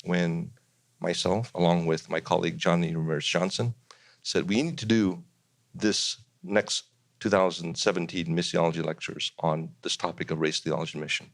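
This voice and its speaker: Deep-voiced man giving an introduction. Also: fairly even intonation, moderate pace, creaky, no echo. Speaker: lecture series organiser introducing recordings. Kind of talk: measured introduction.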